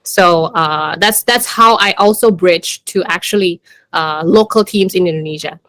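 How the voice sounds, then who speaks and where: A woman's voice: lowish voice, measured pace, fairly bright tone, dry recording. A woman giving an informal business presentation in a hesitant, conversational way, leaning often on "you know" and "like". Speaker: startup country manager presenting.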